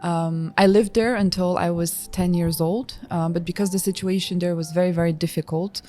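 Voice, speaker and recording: A woman who was born in Georgia and raised in France, speaking as a podcast guest in a thoughtful, measured way with frequent fillers. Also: on the low side, steady tone, mellow, soft background music. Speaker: guest, executive director of a nonprofit. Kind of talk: podcast interview.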